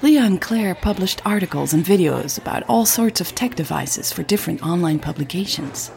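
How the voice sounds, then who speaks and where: A woman's voice: fairly low pitch, expressive, normal speed, gravelly, darkish tone. A woman narrating scripted audio fiction as a podcast host.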